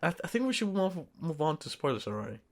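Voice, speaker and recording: A man's voice: a little high, very animated, fast. A man co-hosting a film review podcast in casual conversation, repeating phrases for emphasis and swearing offhandedly. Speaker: podcast co-host reviewing films. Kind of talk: casual chat.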